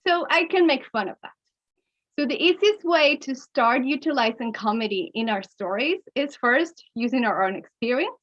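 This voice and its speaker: A woman with a Mexican accent and a high voice leads a storytelling webinar as a coach. She talks expressively, chuckling between points and shifting her pace. The audio is slightly dull and lo-fi, like a video call. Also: fairly bright tone. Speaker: keynote speaker and coach.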